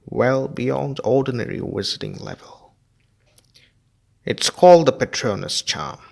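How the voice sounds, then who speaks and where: A kindly, patient wizard teacher, as a man reading a storybook puts him on, lifting his voice above his own and weighing each word slowly. The tone keeps a gravelly, slightly husky texture while he explains. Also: mid-range voice, very animated, darkish tone, pitched above the performer's own voice, exaggerated voice. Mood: instructive. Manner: slow, emphatic and instructive.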